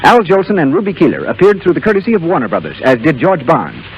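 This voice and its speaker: A man's voice: a little high, big pitch swings, quick pace. A man reading formal scripted announcements as a 1930s radio announcer. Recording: muffled, noisy 1930s radio with audience sound.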